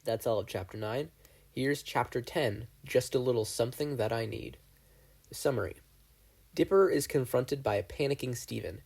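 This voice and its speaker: A man reading fan fiction aloud in a steady, unhurried narration. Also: medium pitch, creaky, breathy edge. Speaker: podfic reader.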